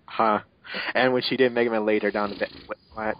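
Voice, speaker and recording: A man's voice: lowish voice, level tone, fairly quick, slight background noise. A man narrating fan fiction as a mocking dramatic reading, sarcastic and exasperated, breaking off for asides, on a muffled home recording. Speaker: narrator and reader.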